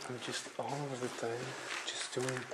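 A man's voice: medium pitch, completely flat delivery, moderate pace, over loud music. A man exploring an abandoned building at night, giving short nervous replies and urging the group to leave. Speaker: paranormal explorer. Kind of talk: casual night exploration chat. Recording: echoey, very noisy camera audio.

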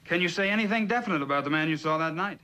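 A defense attorney in a 1960s television drama. His voice is fairly high and sharp, and he moves quickly. The questioning is formal, direct and businesslike. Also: low-quality recording. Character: crisp, businesslike male defense lawyer questioning a witness. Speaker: actor as defense counsel.

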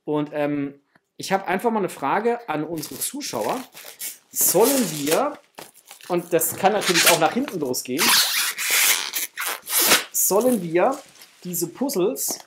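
A man in his thirties with a slightly husky voice, chatting informally to viewers as host of an unboxing video. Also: high voice, expressive, takes it slowly, long pauses, heavy background noise. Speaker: host of a toy collecting channel. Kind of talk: casual chat, unboxing to camera. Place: small room at home, indoors.

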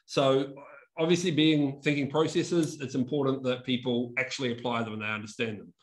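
A man's voice: mid-range voice, fairly even intonation, a little fast. Young New Zealand man giving a casual talk. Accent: New Zealand, softened by years in Australia. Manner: casual, chatty, restarts words often, laughs at himself. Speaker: conference speaker. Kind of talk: online conference presentation. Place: room with a whiteboard and brick wall, indoors. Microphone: video call webcam.

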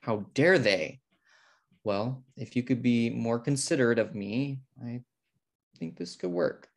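A man's voice: mid-range voice, measured pace, creaky. An American man playing a fussy, controlling twin brother in a sitcom table read. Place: indoor room, on a video call. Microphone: video call microphone.

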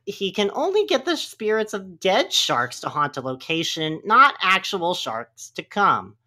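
A woman's voice: medium pitch, very animated, unhurried, sharp tone. A woman giving a theatrical, giggly comedic reading on a live podcast. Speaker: podcast reader. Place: live group podcast recording.

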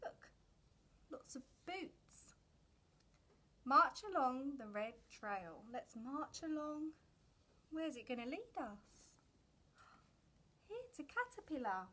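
A young woman with a high voice tells a picture-book story to little children. She goes very slowly, in a sing-song way, addressing her young listeners directly. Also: sing-song, sharp tone. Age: young adult. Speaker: children's storyteller at a family centre. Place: indoors, decorated story corner.

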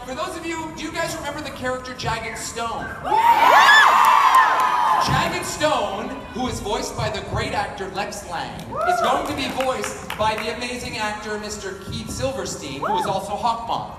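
A man's voice: very high voice, big pitch swings, normal speed, music in the background. A middle-aged man hosting a live fan convention panel in a playful, joking, showman-like way. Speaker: panel host. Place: large indoor convention hall, recorded from the audience. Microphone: handheld PA microphone, picked up by a distant camera. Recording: echoey convention hall from far off, loud crowd.

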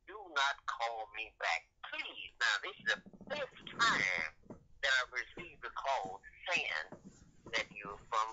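A man's voice: mid-range voice, very animated, slow, pushed and bright. An older man on a phone call, irritated, blunt and insistent, heard over a dull telephone line. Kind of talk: phone conversation.

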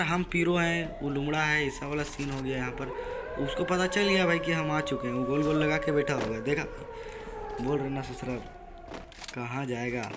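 A hoarse young man gives rowdy, joking, trash-talking commentary over his own gameplay, recorded without a proper mic. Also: medium pitch, noisy background. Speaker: gaming YouTuber. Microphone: built-in device mic, no external mic.

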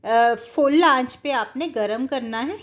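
A woman's voice: high-pitched, slow pace. A woman giving casual, step-by-step cooking instructions, explaining things directly to viewers. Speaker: home cook giving a recipe tutorial.

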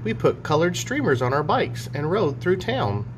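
A man's voice: a little high, big pitch swings, normal speed. A man in his forties talking and reading a storybook to young children in simple, child-directed phrasing, like a teacher. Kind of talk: read-aloud storybook and chat for children. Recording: clear background hum and noise.

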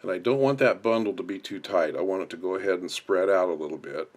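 Guy w a low voice doing a how-to, explaining stuff step by step off the cuff. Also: fast talker. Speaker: instructor. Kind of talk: hands-on tutorial narration.

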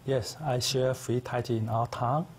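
A middle-aged man whose first language is Chinese, speaking as a guest in a TV studio interview with earnest, simple phrasing and thoughtful pauses. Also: medium pitch, flat delivery, slight background noise. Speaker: interview guest, tai chi teacher. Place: local TV studio set.

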